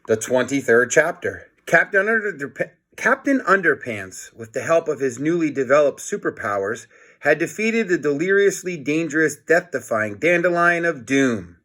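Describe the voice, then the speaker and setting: A man's voice: a little high, big pitch swings, moderate pace, fairly bright tone. A man reading a children's book aloud in a playful, dramatic manner, adding his own comments. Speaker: storybook reader.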